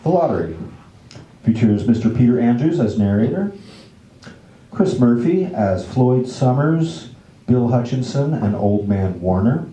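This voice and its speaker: A man's voice: mid-range voice, lively intonation, measured pace, mellow. A man hosting a live staged reading, introducing it casually to an audience in a wry, theatrical way. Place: indoor library event room. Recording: echoey room recording.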